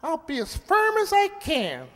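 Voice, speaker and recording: A man's voice: extremely high pitch, huge pitch swings, unhurried, heavy background noise, full character voice. Man acting coaxing little husband, swooping reverberant voice. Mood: reluctant, placating. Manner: coaxing, insistent. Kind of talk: radio drama acting.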